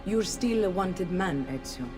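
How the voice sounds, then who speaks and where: An actress playing a composed courtesan mentor who gives measured advice. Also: female voice, lowish voice, over music. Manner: calm, measured, advising. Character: composed female courtesan mentor, worldly and calm.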